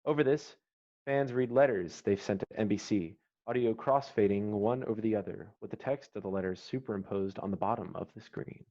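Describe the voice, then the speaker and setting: A man's voice: fairly low pitch, brisk, warm tone. A man reading stage directions and scene headings as the narrator of a screenplay. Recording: dull, slightly muffled online call audio.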